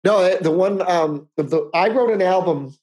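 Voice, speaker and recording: A man's voice: high-pitched, moderate pace, darkish tone, low-quality recording. A man in his fifties, co-hosting a casual podcast, talking in a rambling way with many restarts. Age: 50s. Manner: rambling, restarts often, chuckles. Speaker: podcast co-host. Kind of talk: casual chat.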